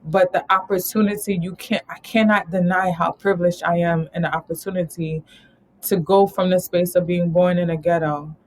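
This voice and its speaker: A woman's voice: on the low side, steady tone, moderate pace, a little mellow, rough recording. A woman from New York talking casually as an interview guest, rambling and laughing often. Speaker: guest, travel company founder.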